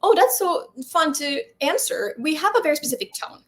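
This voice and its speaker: A woman's voice: high-pitched, lively intonation, a little fast. A middle-aged woman from Sweden, a Swedish native speaker and film festival founder, answers interview questions in a thoughtful way, with many fillers and restarts. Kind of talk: interview guest.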